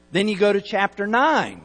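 A man's voice: high voice, a bit bright, breathy edge. A middle-aged man with a light Texas accent, originally from Utah, preaching a sermon with emphatic delivery, folksy asides and repeated words. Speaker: pastor. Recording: long hall reverb.

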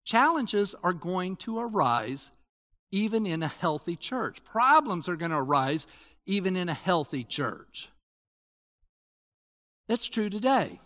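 Pastor, male, high and lively voice, casual restart-filled preaching, echoey hall. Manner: animated, conversational preaching with restarts. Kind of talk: sermon.

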